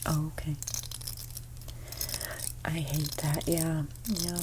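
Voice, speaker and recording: A young woman with a stuffy nose giving an ASMR haircut role play as a hairstylist. She speaks softly, often slips into whispers, and makes gentle salon small talk. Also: deep voice, little pitch movement, slow, noisy background. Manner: soft-spoken, drifting into whispers.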